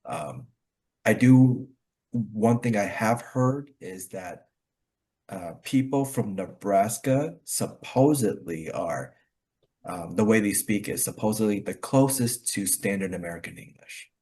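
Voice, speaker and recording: Low-voiced Connecticut man, casual rambling host. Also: animated, a little slow, warm tone. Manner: rambling with many fillers and restarts, laughs easily. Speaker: club host. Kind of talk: casual conversation practice.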